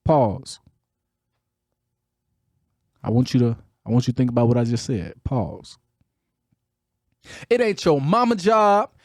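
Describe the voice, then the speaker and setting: A man's voice: mid-range voice, animated, takes it slowly, long pauses, dry recording, clean studio sound. A man with a Chicago accent hosting a motivational podcast in a preacher-like, emphatic and colloquial way, hammering key phrases through repetition. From Chicago, United States. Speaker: podcast host, motivational speaker. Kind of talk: motivational talk with preacher-like delivery.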